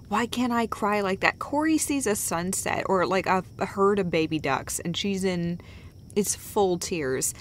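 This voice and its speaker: A woman's voice: on the low side, slight background noise, natural voice. A woman playing a tender, foul-mouthed girlfriend who comforts her partner in an intimate, conversational roleplay, rambling and hesitating as she goes. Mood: soft, vulnerable. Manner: halting, hesitant, rambling, sweary. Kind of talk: intimate roleplay audio monologue, casual and conversational.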